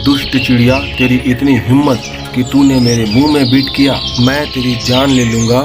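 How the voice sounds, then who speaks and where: Man acting as a short-fused hunter, children's cartoon, medium pitch, declaiming, music underneath. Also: flat delivery, moderate pace, natural voice. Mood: angry, threatening. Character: hot-tempered male hunter out for prey. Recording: music behind the voice.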